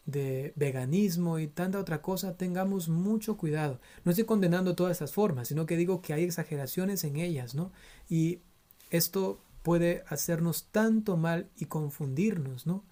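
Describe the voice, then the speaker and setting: A man's voice: fairly high pitch, dark tone. A man from Ecuador, a priest with an Ecuadorian Spanish accent, giving an earnest theology lecture and often asking listeners to take care. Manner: earnest, admonishing, frequent tag questions.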